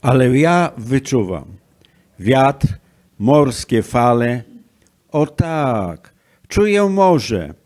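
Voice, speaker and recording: Man playing dramatic sea god, amateur radio play, sentimental, theatrical, widely swinging intonation, unhurried. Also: mid-range voice, very animated, darkish tone. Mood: theatrical, emotional.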